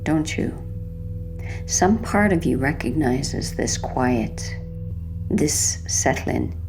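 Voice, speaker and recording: A woman with a low, gravelly-edged voice leads a guided sleep relaxation. Her delivery is gentle and hushed, with soft creak at the ends of phrases. There is faint noise behind her. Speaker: hypnotherapy narrator.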